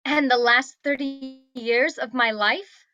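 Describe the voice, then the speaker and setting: A woman's voice: sits high, steady tone, slow, bright, forceful tone, lo-fi sound, soft background music, heavily acted. A woman at a table read playing an imperious young princess with a haughty, declamatory delivery. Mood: indignant. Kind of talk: table read of a comedy fantasy script.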